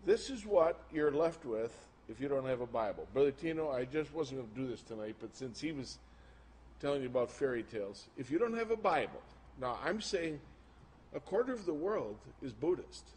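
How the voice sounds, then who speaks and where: A man's voice: medium pitch, animated, normal speed, darkish tone. A man preaching informally to a congregation, colloquial and emphatic with incredulous asides, recorded live. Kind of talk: informal sermon with show-and-tell to a congregation. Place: live talk before an audience. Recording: noisy live recording with room ambience.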